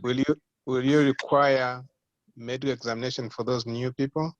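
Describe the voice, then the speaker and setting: A man's voice: mid-range voice, fairly even intonation, moderate pace, no room sound. A man at an association meeting asking a brief follow-up question. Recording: dry, dull call audio.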